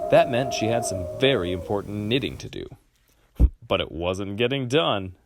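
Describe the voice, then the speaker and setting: A man reading a children's picture book aloud expressively, with dramatic pauses. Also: mid-range voice, no echo. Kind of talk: expressive storybook read-aloud.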